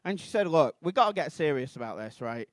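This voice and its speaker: A Mancunian man in his thirties doing a rambling, self-deprecating stand-up routine on a comedy club stage, bursting into shouted outrage. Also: on the high side, sing-song, a little fast, no room sound. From Manchester, England.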